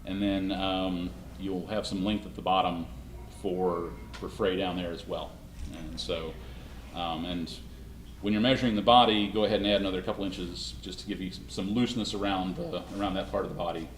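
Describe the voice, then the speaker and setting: A man presenting a live costume-making demonstration at a convention panel, talking in a halting way with many restarts, his voice slightly hoarse. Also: low voice, fairly even intonation, a little hiss or hum. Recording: echoey room, mic far off.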